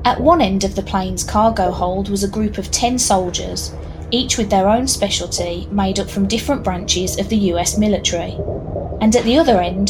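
Female narrator, mid pitch: measured scripted storytelling for audio drama, noisy ambience behind. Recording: clear background noise, ambience.

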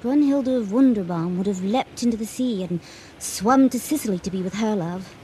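A woman's voice: medium pitch, moderate pace, warm tone, lightly acted. An actress playing a lovestruck, earnest young female student in a radio drama, wistful and sincere. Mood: earnest, resigned. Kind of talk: 1980s radio drama acting. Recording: noisy vintage radio drama recording.

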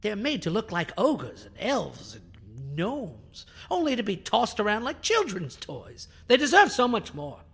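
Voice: mid-range voice, wildly swooping pitch, measured pace, gravelly, hardly any pauses, a bit bright, husky, full character voice. An actor playing a proud, bitter alien scientist in a sci-fi audio drama, speaking in a haughty, theatrical, precise and formal way. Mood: lecturing, wounded pride. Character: proud, bitter alien scientist who builds biohybrid creatures. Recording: music under the voice.

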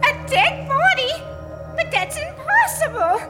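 A refined spinster in an old-time radio thriller. Her voice is extremely high and shrill, slow and flustered. Clear music runs behind the live stage recording. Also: very animated, exaggerated voice. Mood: startled, bewildered. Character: refined, flustered spinster lady, a former schoolteacher. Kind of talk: old-time radio suspense acting before a live audience.

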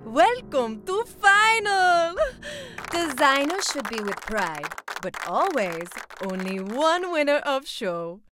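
A high-voiced German hostess of a comedy fashion contest played by a woman, solemn and imperious. She delivers slow, dramatic pronouncements in broken English, her pitch rising and dipping. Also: sing-song, over music, heavily acted. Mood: solemn, judging.

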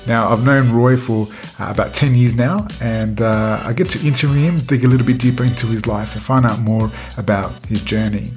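A man's voice: mid-range voice, a little fast, hardly any pauses, a little mellow. Man, podcast host, casual, jokey, roomy sound, faint music. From Central America. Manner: laid-back, jokey, laughs easily. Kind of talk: casual interview.

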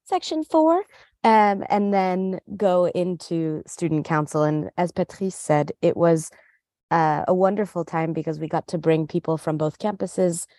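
An American woman in her thirties with a low, mellow voice and a dry, close sound. She speaks in a measured, articulate way as she answers interview questions. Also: no echo. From United States. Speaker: student council co-president.

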